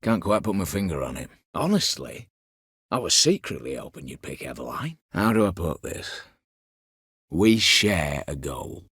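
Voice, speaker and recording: A man's voice: deep voice, very animated, a little slow, fairly bright tone, husky, no echo, studio quality, full character voice. An actor voicing a scheming, vengeful disinherited nobleman turned heist leader in a video game, with a colloquial, wry, conspiratorial way of talking. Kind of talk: video game character dialogue.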